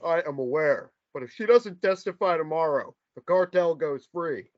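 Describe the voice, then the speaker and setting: A man's voice: fairly high pitch, lively intonation, moderate pace, natural voice. A man in a table read voicing a dutiful police officer who speaks formally and politely. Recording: muffled lo-fi recording.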